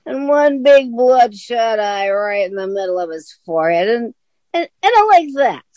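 A woman's voice: a little high, lively intonation, normal speed, a little mellow, no room sound, close to an everyday voice. A woman acting a flippant, offhand male knave, a petty thief in a comic radio drama. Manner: flippant, casual.

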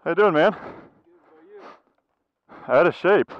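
A young man chatting casually in slang, with an excitable, playful manner. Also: fairly high pitch, slow, no room sound. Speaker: dirt bike rider and vlogger. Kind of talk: casual chat. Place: garage and outdoor dirt trails. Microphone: action camera. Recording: muffled, loud engine and wind noise.

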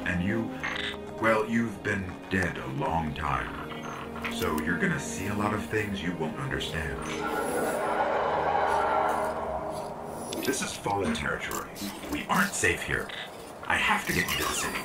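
An actor playing a small floating robot companion in a science-fiction game, eager, anxious and protective, speaking with earnest urgency. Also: male voice, deep voice, big pitch swings, normal speed. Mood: earnest, urgent. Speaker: guide character in a science-fiction shooter game. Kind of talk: cinematic game voice acting. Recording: loud cinematic music behind the voice. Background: loud music.

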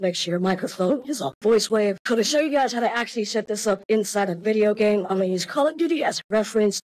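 A man's voice: high voice, a little mellow, no room sound, pitched above the performer's own voice. A man voicing a character through an AI voice changer, giving step-by-step setup instructions. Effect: real-time AI voice changer. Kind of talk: software tutorial.

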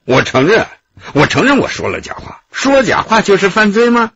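Glib, wheedling art dealer, radio crime drama. Hoarse-edged, highly animated delivery. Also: male voice, normal speed, highs cut off, heavily acted. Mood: pleading innocence. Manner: wordy, wheedling, self-justifying. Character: slippery, glib male art gallery owner under questioning. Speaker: actor in a police radio drama. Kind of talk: Chinese radio drama acting.

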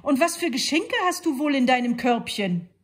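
Woman doing a storybook wolf, male, sly, hungry, high-pitched, breathy. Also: expressive, moderate pace. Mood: eager.

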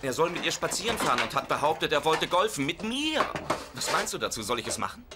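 An easygoing sitcom husband with a boyish, excuse-making streak. His delivery swings widely in pitch and sounds playful and exaggerated. Heavy noise and clicks cover the recording. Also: very animated, quick pace. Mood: pleading, excited. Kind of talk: dubbed sitcom dialogue. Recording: noisy audio with clicks.

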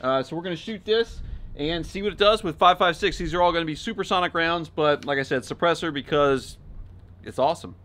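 Casual higher-pitched man vlogging outdoors. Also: brisk, a bit bright. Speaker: host of a shooting channel. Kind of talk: casual outdoor vlog talk to camera. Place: outdoors at a wooded backyard shooting range.

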